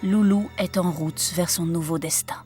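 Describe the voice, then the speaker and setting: Woman narrator over clear music. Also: lowish voice, fairly even intonation, moderate pace, warm tone. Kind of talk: scripted storytelling recap narration.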